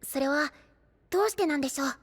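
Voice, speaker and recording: A woman's voice: sits high, quick pace, big-room sound, close to an everyday voice. A woman voicing a small, sweet, polite and diligent young character in an anime audio drama, speaking earnestly. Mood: uneasy, questioning.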